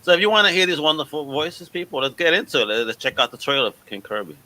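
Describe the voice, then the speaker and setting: A man's voice: fairly high pitch, fast, bright, forceful tone, poor audio. A man hosting a casual podcast interview in an enthusiastic, informal way. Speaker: podcast host.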